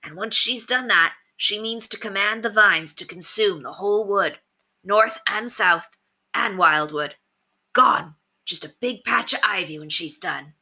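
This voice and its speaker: A woman playing a male bandit king in an audiobook story, grave and resolute, speaking in a slow, direct way with rustic phrasing. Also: mid-range voice, sharp tone, heavily acted. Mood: steely, direct.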